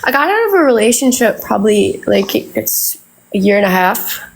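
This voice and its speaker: A woman's voice: mid-range voice, big pitch swings, normal speed, a little hiss or hum, poor audio. A woman in her twenties, congested from the flu, calling in and talking through her dating troubles with lots of fillers and restarts. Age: 20s. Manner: rambling, many fillers and restarts. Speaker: caller on a live stream. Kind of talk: casual advice-seeking chat.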